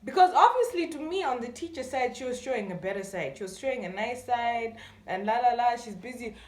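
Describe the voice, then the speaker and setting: High-voiced young Malawian woman in an echoey room. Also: a little fast. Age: young adult. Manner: animated retelling, acting out dialogue. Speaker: YouTube storyteller. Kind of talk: casual storytime chat.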